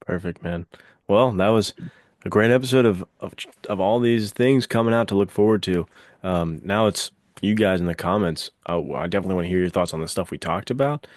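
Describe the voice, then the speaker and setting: A man's voice: low-pitched, a little fast, darkish tone, no echo. A man co-hosting a podcast in casual chat, speaking encouragingly and directly to listeners. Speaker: podcast co-host.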